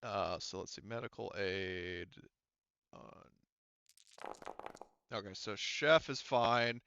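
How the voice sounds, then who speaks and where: A man running a tabletop roleplaying game as game master, talking haltingly and thinking aloud as he works through the rules. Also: on the low side, vocal fry, sharp tone, lo-fi sound, a little hiss or hum.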